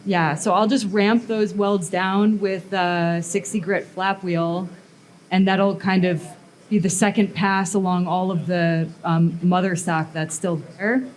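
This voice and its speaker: A woman's voice: fairly low pitch, steady tone, a little slow. A woman blacksmith and sculptor giving a live workshop demonstration to an audience, talking casually and thinking aloud with fillers and restarts. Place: metal workshop at a smithing event.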